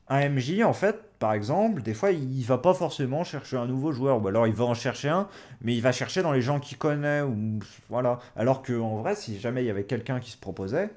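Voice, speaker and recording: A man's voice: medium pitch, darkish tone. Young man chatting casually, hesitant delivery. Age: 20s. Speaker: host, experienced game master giving advice. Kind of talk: casual chat, advice to beginners.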